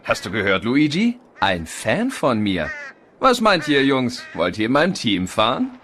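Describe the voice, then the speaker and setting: A man voicing a cocky, friendly young race car with a breezy, self-assured manner in an animated film dub. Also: mid-range voice, unhurried, a little hiss or hum. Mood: boastful.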